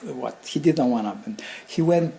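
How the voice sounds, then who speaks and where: An older man from Bulgaria with a Bulgarian accent, telling stories casually in a halting, rambling but animated way. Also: a little high, creaky. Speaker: interview guest, craftsman and designer. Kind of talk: casual conversational storytelling.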